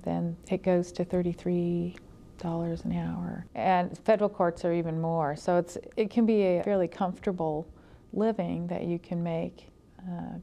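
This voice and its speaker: A woman college instructor explaining her field in an interview in a measured, deliberate way. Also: lowish voice, gravelly, warm tone.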